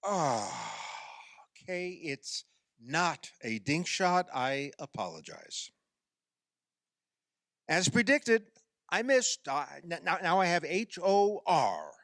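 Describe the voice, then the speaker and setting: A man acts out a self-pitying amateur athlete in a radio drama. His delivery is sarcastic and exasperated, halting and then swinging widely in pitch. The sound is dry, with little room around it. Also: wildly swooping pitch, fairly bright tone, dry recording, heavily acted. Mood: teasing, grudging. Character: self-pitying, sarcastic male amateur basketball player, sore loser. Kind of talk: radio play dialogue.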